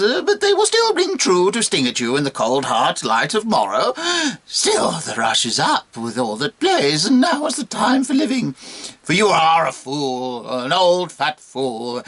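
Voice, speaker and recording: A man's voice: mid-range voice, vocal fry, fairly bright tone, heavily acted. A man voicing a mocking, whining, foul-mouthed talking monkey in a dramatic poetry reading. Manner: taunting, theatrical, grandiloquent insults.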